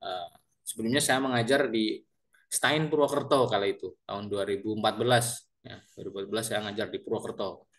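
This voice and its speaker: Chatty Indonesian lecturer with ngapak accent. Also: male voice, lowish voice, steady tone, fairly quick. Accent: Banyumasan (ngapak) Javanese. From Central Java, Indonesia. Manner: chatty, with frequent fillers and repeated words; lecturer addressing students politely. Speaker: university lecturer. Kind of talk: introducing himself to an online class.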